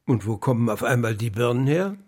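An actor playing a lonely, rueful old pigeon keeper in a German children's radio play. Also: male voice, mid-range voice, lively intonation, brisk, darkish tone, lightly acted. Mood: gentle, puzzled. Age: older.

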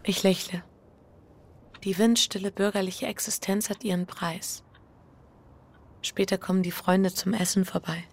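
An actress playing a reflective, troubled married woman in an intimate, hushed radio drama. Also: mid-range voice, little pitch movement, a little slow, long pauses, husky. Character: reflective, troubled married woman facing her fear and guilt. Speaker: lead actress and first-person narrator.

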